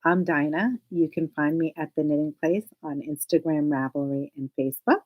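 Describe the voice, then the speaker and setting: A woman's voice: low-pitched, normal speed, dull audio. A woman whose first language is Hebrew, co-hosting a casual knitting podcast and chatting with a laugh. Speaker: podcast co-host. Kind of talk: casual chat.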